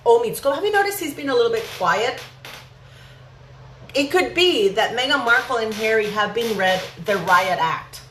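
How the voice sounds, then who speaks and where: A woman's voice: fairly high pitch, expressive, normal speed, fairly bright tone. A woman hosting an opinionated gossip commentary vlog, speaking emphatically and indignantly with rhetorical questions. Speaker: vlog host. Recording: echoey room with faint background noise.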